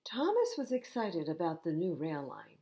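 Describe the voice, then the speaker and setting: An older woman reading children's stories aloud at storytime, expressive and unhurried, with little asides to young listeners. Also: sits low. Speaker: library storytime reader.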